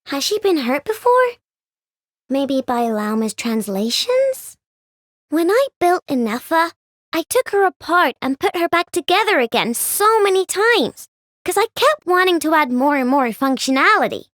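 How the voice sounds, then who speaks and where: A woman voicing an excitable, chatty young female inventor who builds robots. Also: high-pitched, measured pace, no room sound, studio quality, heavily acted. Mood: bubbly. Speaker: game character voice. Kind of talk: recorded game voice lines.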